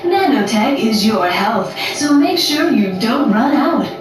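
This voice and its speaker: A woman's voice: on the high side, lively intonation, slow pace. A woman voicing a polite corporate presenter, reading scripted instructions in a courteous sales manner. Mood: polished, instructive. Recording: echoey, distant game audio with music.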